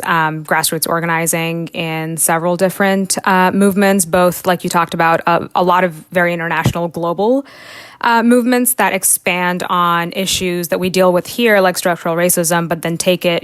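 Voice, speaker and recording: Guest: young woman, from South India, low smooth voice, chatty with fillers, dry sound. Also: fairly even intonation, normal speed, dry recording. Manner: talkative, many fillers, laughs often. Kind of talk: casual podcast interview.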